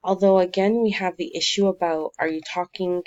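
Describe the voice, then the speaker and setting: Calm woman teacher, lo-fi. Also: fairly low pitch, moderate pace. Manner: calm, encouraging but critical, with frequent fillers. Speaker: teacher giving essay feedback. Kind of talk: recorded one-to-one feedback on a student essay.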